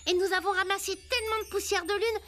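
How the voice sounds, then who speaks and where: A woman's voice: very high voice, fairly even intonation, fast, a bit bright. A woman voicing a cheerful, eager little wooden toy boy in a French children's cartoon, with a childlike, encouraging delivery. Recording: music behind the voice.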